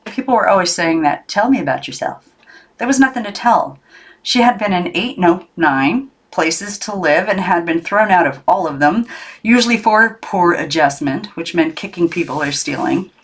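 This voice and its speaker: A woman reading a children's novel aloud as narrator, in an expressive storytelling style. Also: lowish voice, normal speed. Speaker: audiobook narrator.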